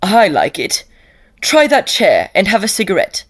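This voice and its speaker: A woman playing an earnest, anxious young Victorian gentleman student in an audio drama, with formal, old-fashioned phrasing. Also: mid-range voice, wildly swooping pitch, unhurried, heavily acted. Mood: genial.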